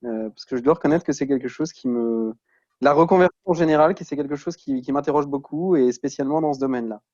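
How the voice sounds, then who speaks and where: A man's voice: fairly high pitch, fast talker, darkish tone. A man in his thirties casually introducing himself on a video call, hesitant and repeating words as he goes. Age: 30s. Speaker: alumni participant. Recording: dull lo-fi video-call connection.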